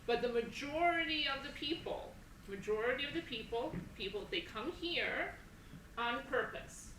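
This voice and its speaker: Distant echoey hall, woman moderator, bright forceful voice, deliberate, repeats phrases, educational talk. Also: sits high, lively intonation. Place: school assembly, speakers on a stage. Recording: echoey hall from afar, faint noise.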